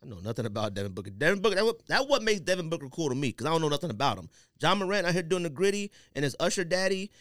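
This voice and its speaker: A man's voice: fairly high pitch, very fast, no echo, clean studio sound. A man with an American accent bantering casually about sports as a podcast co-host, opinionated and full of slang. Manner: animated, opinionated, informal slang.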